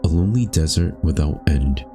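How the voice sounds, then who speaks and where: A man reading scripted second-person narration for a dark existential story in a solemn, measured, brooding manner. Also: extremely low pitch, expressive, over music.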